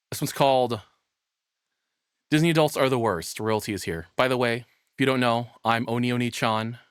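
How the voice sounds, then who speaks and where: A man's voice: medium pitch, smooth, no room sound, studio quality. A tired man casually commentating on a live stream as he reacts to a video, crude, profane and self-deprecating.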